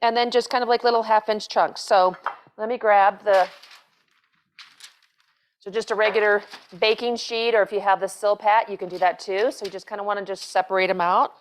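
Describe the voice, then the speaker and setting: A woman's voice: medium pitch, fairly even intonation, normal speed, slight background noise. A middle-aged woman hosting a cooking demonstration in a home kitchen, talking casually and rambling with fillers and restarts on a lapel mic. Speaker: cooking show host, chef.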